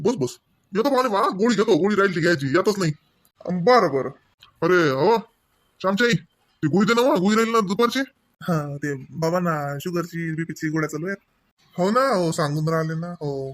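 A man voicing chatty Marathi family man, higher-pitched. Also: lightly acted. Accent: Varhadi Marathi, from Vidarbha. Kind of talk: Marathi comedy cartoon dialogue, conversational.

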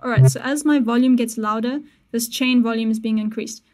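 A young woman hosting a casual music-production tutorial, talking through each step in a relaxed way with small self-corrections, recorded on a studio mic in a small home studio. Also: a little high, a little fast. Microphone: studio mic on boom with headphones.

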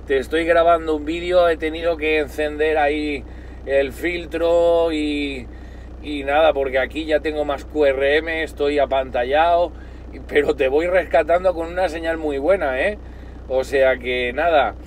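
Man, Spain accent, slightly high voice, casual radio sign-off chat, inside a car, light vehicle noise. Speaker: amateur radio operator. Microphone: phone filming at close range. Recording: faint car and street noise.

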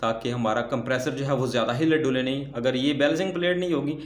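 A man's voice: medium pitch, fast. A man teaching repair at a workbench, explaining step by step and asking himself questions before answering them. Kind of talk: hands-on repair tutorial. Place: workbench indoors, off camera. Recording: echoey room.

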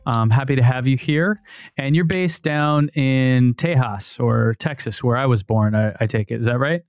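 A man in his thirties hosting a podcast, chatting in a relaxed, friendly way at a studio mic in a dim home studio. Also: mid-range voice, moderate pace, clean tone, no room sound. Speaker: podcast host. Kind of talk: casual interview chat. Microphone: studio mic on boom, headphones.

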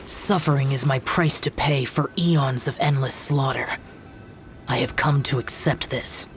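A man's voice: medium pitch, unhurried, soft background music, natural voice. An actor playing an aloof young male demon-hunting warrior in a video game, speaking in a formal, cold and grave way.

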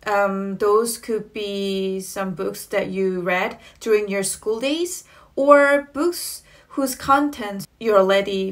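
A woman in her thirties whose native language is Korean, giving casual tips to the camera from a small room at home, friendly, with fillers like "you know". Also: mid-range voice. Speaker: English learning vlogger.

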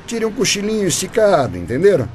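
Sleazy smug brazilian boss in radio play, fake-caring, very animated, some noise behind. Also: male voice, high-pitched, fast talker. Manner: unctuous, falsely caring. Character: crooked, smug, lazy-paced construction boss. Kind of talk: comic radio drama acting.

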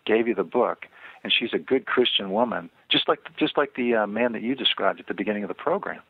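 An older man, an author and guest on a radio interview, speaking earnestly and measuredly with frequent pauses and fillers. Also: fairly low pitch, vocal fry, breathy edge, no echo. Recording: tinny phone line, dry.

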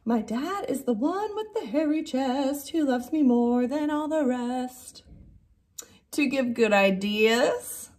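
Female teacher in her thirties for picture-book reading; very animated melody, unhurried, expressive emphasis. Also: sits high. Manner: expressive, drawn-out emphasis on key words. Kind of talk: animated children's story read-aloud. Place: indoor classroom.

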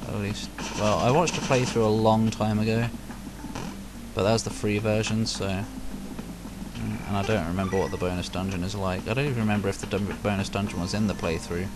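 A man's voice: lowish voice, noisy background. A man giving casual, chatty commentary while playing a video game, sarcastic and talking to himself. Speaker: let's play host. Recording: loud game soundtrack over voice. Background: loud game music.

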